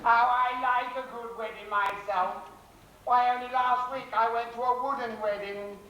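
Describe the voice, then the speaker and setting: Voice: mid-range voice, fairly even intonation, normal speed, dull audio, a little hiss or hum, lightly acted. An actor playing a jokey pantomime dame with an Irish accent, recorded from far off on a theatre stage. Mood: jolly. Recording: roomy hall audio from far, loud audience.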